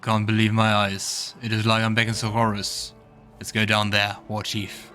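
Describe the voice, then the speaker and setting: A man's voice: lowish voice, monotone, moderate pace, sharp tone, soft background music. A man hosting a let's play, commenting on the game and reading its text aloud.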